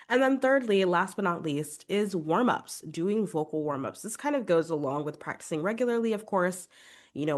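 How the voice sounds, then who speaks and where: A young adult woman, a vocal coach, giving chatty, encouraging podcast advice with a congested voice. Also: low-pitched. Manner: chatty, encouraging, fillers like "like" and "right?".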